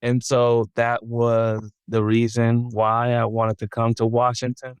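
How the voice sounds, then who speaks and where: Smooth-voiced man from the Dominican Republic. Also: mid-range voice, monotone, slow pace, no echo. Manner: thoughtful, many ums and self-corrections. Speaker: podcast guest. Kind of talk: casual interview, switching between English and Spanish.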